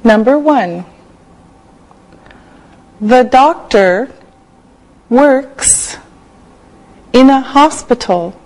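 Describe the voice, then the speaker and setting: An older woman from Canada teaching English on a studio set with a lapel mic, dictating words slowly and clearly. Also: high voice, expressive, a little mellow, low-quality recording. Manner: slow, clearly enunciated dictation. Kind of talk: slow scripted classroom teaching.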